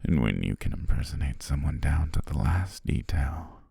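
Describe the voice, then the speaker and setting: A man playing a possessive shapeshifting naga who poses as a devoted servant, speaking gently and formally in an intimate ASMR roleplay, husky, breathy and often whispering. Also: rumbling low, expressive, dry recording, studio quality, full character voice. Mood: tender, possessive, coaxing. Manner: slow, gentle, formal, coaxing.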